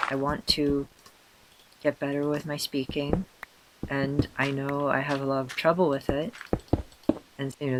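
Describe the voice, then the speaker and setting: A man with a hoarse, tired voice, self-consciously rambling through casual gameplay commentary with many fillers and restarts. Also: medium pitch, background noise. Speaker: let's player.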